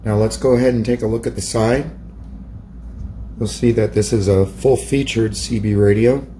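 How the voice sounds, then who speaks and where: A man's voice: fairly low pitch, steady tone, moderate pace, dark tone, slight background noise. A man narrating a hands-on product demonstration as a hobbyist presenter.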